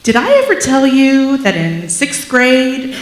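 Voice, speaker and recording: A woman's voice: sits high, lively intonation, unhurried. A woman acting a cheerful, teasing adult daughter in a live comedic dramatic reading, chatty and keen to make peace. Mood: bright, teasing. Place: church sanctuary, live performance. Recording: echoey live room.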